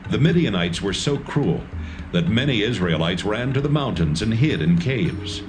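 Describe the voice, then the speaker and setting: A man with a lowish voice narrates scripture steadily and plainly. Music plays clearly beneath him. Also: animated, normal speed.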